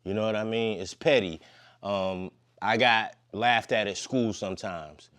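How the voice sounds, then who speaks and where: Guest, man, 50s, very low voice, relaxed slangy rambler. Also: measured pace. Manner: laid-back, slangy, long-winded. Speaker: podcast guest. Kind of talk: casual conversation.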